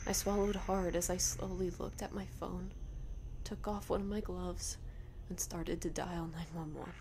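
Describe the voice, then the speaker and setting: A woman reading a first-person horror story in a dramatic, emotive, breathy delivery. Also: fairly low pitch, little pitch movement, unhurried, noisy background.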